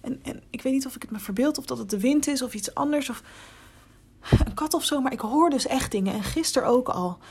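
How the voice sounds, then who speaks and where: An actress playing an anxious, inquisitive young reporter in a hesitant, confiding voicemail monologue in an audio drama. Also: female voice, high voice, fairly quick, a little mellow, slight background noise. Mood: frightened.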